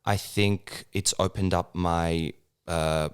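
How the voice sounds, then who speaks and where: Actor guest, man, low smooth voice, hesitant with fillers. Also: fairly even intonation, slow. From Serbia. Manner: hesitant, many fillers and restarts. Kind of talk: casual interview conversation.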